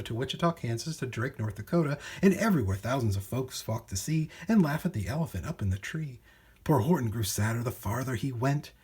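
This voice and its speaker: Male children's storybook narrator: theatrical, rhythmic verse reading, lively intonation, slightly rough higher voice.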